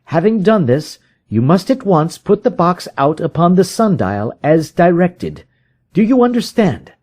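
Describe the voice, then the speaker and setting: A man performs a commanding detective from a Victorian mystery story. His voice leaps high and swings widely, driven by urgency. He gives decisive, emphatic orders in formal diction, with no patience for delay. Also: very animated, a little slow, darkish tone, slightly rough, exaggerated voice. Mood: urgent, commanding. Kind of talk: audiobook character voice in a detective story.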